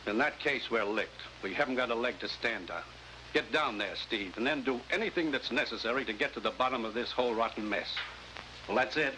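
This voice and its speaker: An American man playing an authoritative commissioner in a 1950s adventure drama, giving orders in a brisk, businesslike way. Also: mid-range voice, natural voice. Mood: grave, urgent. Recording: faintly noisy vintage 1950s TV soundtrack.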